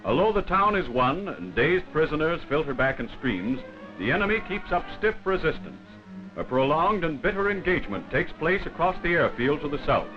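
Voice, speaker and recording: A man's voice: on the high side, very animated, moderate pace. A man reading scripted wartime newsreel narration in a formal, dramatic 1940s manner. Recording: dull vintage 1940s film soundtrack. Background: loud background music.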